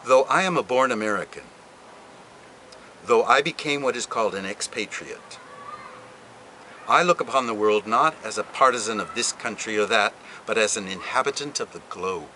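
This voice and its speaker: A man reads a literary passage aloud in a lowish but bright voice. His delivery is deliberate and expressive, rising and falling widely with dramatic pauses, over a faint hiss behind him. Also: low-quality recording. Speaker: reader. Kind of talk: literary reading.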